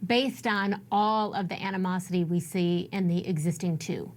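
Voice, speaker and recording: A woman's voice: lowish voice, fairly even intonation, moderate pace. American woman anchoring live TV news.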